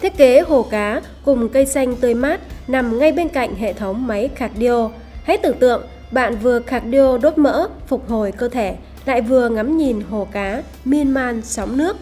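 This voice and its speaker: A woman's voice: on the high side, moderate pace, dark tone. A woman reading a scripted promotional voice-over as a narrator. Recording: voice over background music. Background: music.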